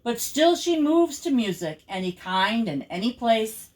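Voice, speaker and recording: An older woman, a grandmother, reading children's rhyming poems aloud in an expressive, rhythmic, theatrical way. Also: fairly high pitch, measured pace, low-quality recording.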